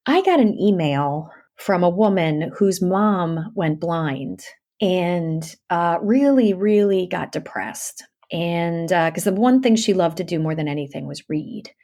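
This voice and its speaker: A middle-aged woman, a guest and audiobook narrator, chatting casually and animatedly in an interview, telling stories with lively swings and laughs. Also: on the low side, a little slow.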